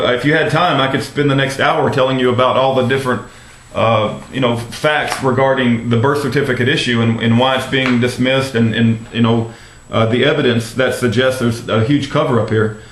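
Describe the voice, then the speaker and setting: A roomy, echoing recording of an American man on a phone call. His pitch is average for a man. He speaks as an earnest, courteous advocate, often hesitating and circling back over his phrases. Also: fairly bright tone. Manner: hesitant, repetitive, polite. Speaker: activist caller phoning a congressman's office.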